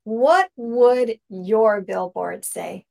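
A woman's voice: mid-range voice, drawn-out words, gravelly, darkish tone. A woman hosting a conversational faith interview, speaking in an effusive, affirming way. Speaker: interview host.

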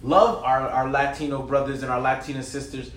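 A man, a guest in an interview, talking in an energetic, conversational way with many "you know"s and repeated phrases. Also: medium pitch, a little fast. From New York. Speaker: guest, educator. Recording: roomy echo, faint noise.

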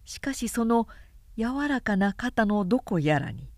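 Slow, expressive woman narrator, dry close sound. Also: a little high, dry recording. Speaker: narrator reading a story aloud. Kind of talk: scripted literary narration of a period tale.

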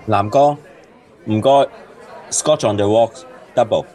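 A man's voice: on the low side, takes it slowly, darkish tone, a faint crowd. An actor playing a grumbling, lovelorn man drinking at a bar in a Cantonese radio drama, with a Hong Kong accent.

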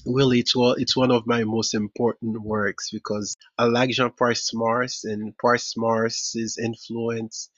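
A middle-aged man from Haiti with a Haitian accent, a professor answering interview questions in a measured way, often restarting phrases. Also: mid-range voice, fairly bright tone, no echo. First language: Haitian Creole. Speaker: professor and author. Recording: dull, muffled audio.

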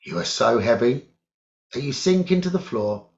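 A man leading a guided meditation as a teacher, giving calm, deliberate instructions in short phrases with long pauses. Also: mid-range voice, vocal fry. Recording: dull, lo-fi sound.

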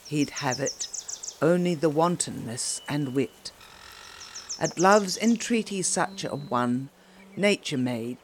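A woman gives a dramatic poetry recitation at a very unhurried pace. She leaves deliberate pauses between short phrases. Birds, insects and outdoor ambience are clearly audible around her voice. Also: low voice, a little hiss or hum. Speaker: poetry reader.

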